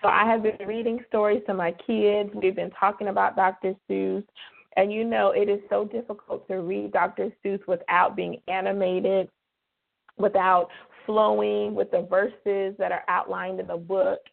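Slightly hoarse woman on a phone line. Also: medium pitch, level tone. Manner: chatty, drawn-out emphasis on key words. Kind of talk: casual devotional radio talk. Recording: phone-line call-in radio.

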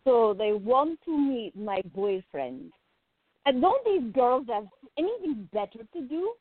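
A woman's voice: a little high, sing-song, unhurried, husky, dry recording, full character voice. A woman playing an outgoing, teasing gallery worker in a radio play, talking in a playful, emphatic way. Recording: tinny, phone-like narrow sound.

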